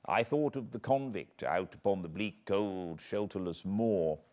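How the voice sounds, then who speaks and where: A British man reading a classic novel aloud as a narrator, measured and expressive, at home beside a fireplace. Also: deep voice. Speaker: audiobook narrator. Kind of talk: unabridged audiobook reading.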